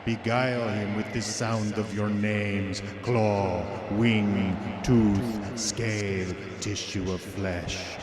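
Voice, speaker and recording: A man playing a commanding, lonely vampire count in gothic radio horror, with slow, incantatory, seductive and menacing delivery. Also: lowish voice, steady tone, heavy background noise. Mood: commanding incantation. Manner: slow, incantatory, drawn-out phrasing.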